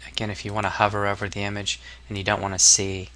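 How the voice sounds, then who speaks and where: A man narrating a casual software tutorial as an instructor, explaining step by step in an informal, somewhat hesitant way. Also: lowish voice, completely flat delivery, fast talker.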